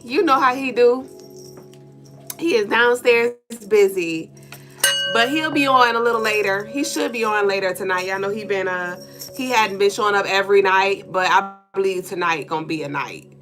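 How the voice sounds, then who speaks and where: A woman co-hosting a casual livestream, congested and nasal, lively and playful as she greets viewers by name. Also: medium pitch, moderate pace, faint music behind. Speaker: livestream co-host.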